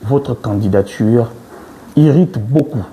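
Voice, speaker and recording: A man's voice: medium pitch, slow, mellow, slight background noise. A man interviewing a politician on TV, probing and insistent in his questioning.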